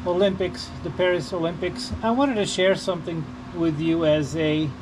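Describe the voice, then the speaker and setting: A man from Portugal, chatting casually in a halting, rambling, unscripted vlog style while he works. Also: high-pitched, steady tone. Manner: halting, rambling, repeats himself. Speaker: vlogger. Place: working on a car during an oil change. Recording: noisy, with vehicle and ambient hum.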